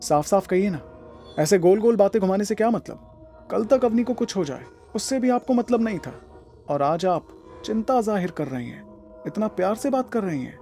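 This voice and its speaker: Defiant protector, higher-pitched male voice. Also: expressive, normal speed, warm tone, soft background music. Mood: indignant. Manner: blunt, challenging. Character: defiant, protective man standing up to elders. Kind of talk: Hindi audio drama acting.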